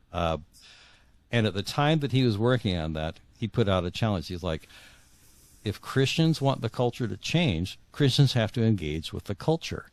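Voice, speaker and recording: Male interview guest, fairly low voice, earnest and conversational, dry close sound. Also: no echo. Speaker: guest author. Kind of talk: casual interview talk.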